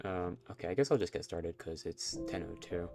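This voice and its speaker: Low, nasal man streaming a game. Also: a little fast, vocal fry, mellow, soft background music. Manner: rambling, self-conscious, chatty. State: stuffy nose from allergies. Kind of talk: casual live game stream commentary.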